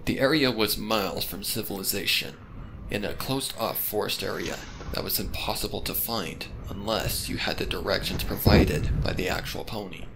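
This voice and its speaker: A man's voice: on the low side, vocal fry, background noise. A man reading a story aloud as a narrator, with dramatic scripted delivery. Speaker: fan fiction narrator.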